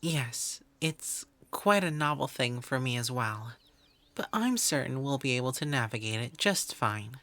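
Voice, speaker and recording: A woman's voice: rumbling low, animated, unhurried, a bit bright, full character voice. A woman voicing a proud female elf wizard in an audio roleplay, prim and aloof, often sighing. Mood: softening.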